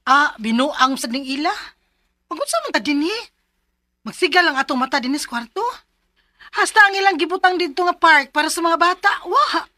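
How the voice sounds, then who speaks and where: An actress with a Filipino Bisaya accent playing an expressive wife and young mother in a radio drama. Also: sits high, a little fast, no echo, clean studio sound. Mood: indignant. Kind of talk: Philippine radio drama acting.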